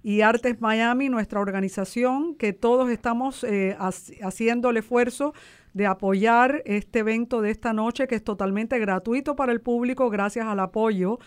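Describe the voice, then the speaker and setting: High-voiced woman explaining in an interview. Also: normal speed, smooth. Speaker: guest, arts organization founder. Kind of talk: promotional interview talk, explanatory.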